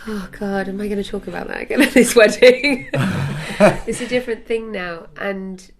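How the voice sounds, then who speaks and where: A woman in her thirties, talking candidly and laughing easily in a studio interview. Her voice has a slightly gravelly, fry-tinged texture. She sits at a middle pitch for a woman. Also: normal speed. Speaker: actress interviewed. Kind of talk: casual interview chat. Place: recording studio.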